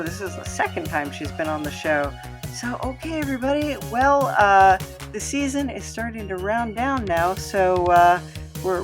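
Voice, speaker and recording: Female podcast host, slightly low voice, chatty and informal sign-off style, music clearly underneath. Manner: chatty, informal, hesitant asides. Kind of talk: casual closing announcements.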